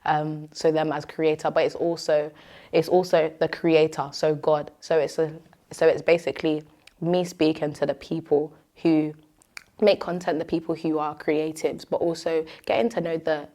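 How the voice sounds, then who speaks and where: A young woman with a British accent and a notably low voice. She talks in a casual, expressive way, addressing her listeners directly like a host opening up in an informal interview. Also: moderate pace. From Nigerian-born, moved to Britain at three. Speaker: show host and content creator. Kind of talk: casual chat answering interview questions.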